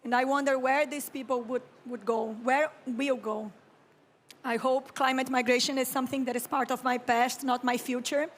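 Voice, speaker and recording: High-voiced Brazilian woman giving a calm keynote. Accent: Brazilian Portuguese. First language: Brazilian Portuguese. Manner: slow, calm and reflective, with frequent throat clearing. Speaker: conference speaker, architect and urban planner. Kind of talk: reflective keynote talk. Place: conference stage.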